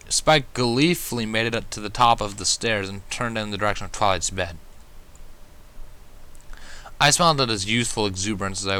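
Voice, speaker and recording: Bright-voiced man reading fan fiction aloud. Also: lowish voice, clean tone. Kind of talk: first-person story narration.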